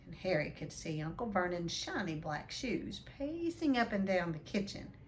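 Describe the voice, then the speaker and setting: A woman reading a children's novel aloud as an expressive storyteller. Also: on the low side, normal speed, slight background noise.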